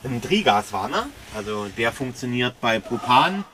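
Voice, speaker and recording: A man's voice: mid-range voice, sing-song, moderate pace, bright, forceful tone, slight background noise. A man co-hosting a camping vlog, chatting casually and enthusiastically from inside a camper van. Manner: enthusiastic, slangy, talks straight to viewers. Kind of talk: casual chat.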